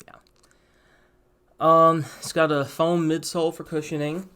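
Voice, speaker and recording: A man's voice: fairly low pitch, a bit bright. A young man chatting casually through a sneaker review, rambling with asides and filler words. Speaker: sneaker vlogger. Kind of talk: casual product review to camera.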